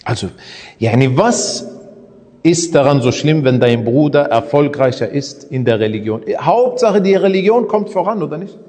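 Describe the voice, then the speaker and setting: A man's voice: fairly high pitch, animated, moderate pace, a little mellow, big-room sound. A man teaching a religious lesson in a conversational way, often asking his listeners rhetorical questions. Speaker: Islamic preacher.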